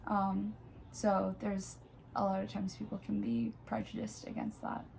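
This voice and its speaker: A woman's voice: medium pitch, level tone, a little slow, creaky, a little mellow, slight background noise, low-quality recording. Hesitant woman from Brazil. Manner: hesitant, searching for words. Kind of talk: personal account.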